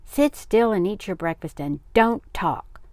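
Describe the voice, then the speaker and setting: A woman's voice: fairly low pitch, animated, unhurried, no echo, clean studio sound. A woman voicing a grumpy, angry father who barks and bellows at his family in a children's storybook. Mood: bellowing. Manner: gruff, bellowing.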